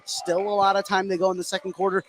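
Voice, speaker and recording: A man with a high-pitched voice calls a basketball game live on the radio. He follows each play as it happens in an excitable manner. There is clear noise from the gym behind him. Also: quick pace. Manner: excitable, calling plays as they happen. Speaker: play-by-play sports announcer. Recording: noisy live gym broadcast.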